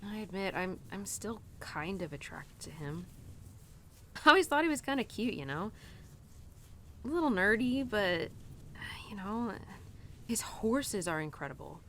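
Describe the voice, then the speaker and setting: A young cowgirl, played by a woman, talks intimately in an audio roleplay, chatty and a little hesitant. Her mid-pitched voice swings expressively, showing an independent streak and inner conflict. Faint noise and ambience sit behind her. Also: very animated, measured pace, long pauses, fairly bright tone. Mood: chatty, wistful, then fond, gossipy. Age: young adult. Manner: chatty, hesitant, informal, peppered with "like" and "you know".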